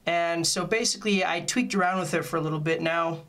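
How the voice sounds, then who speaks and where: A man presents a casual step-by-step tutorial. His voice sits a little high for a man and has a bright, forward edge. He explains each point plainly, as an informal instructor would. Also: a little fast. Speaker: music gear tutorial presenter.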